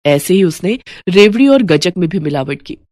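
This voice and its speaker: A woman's voice: lowish voice, lively intonation, moderate pace, a little mellow, no room sound, studio quality. A woman reading a scripted moral story as its narrator. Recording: music bed behind the voice.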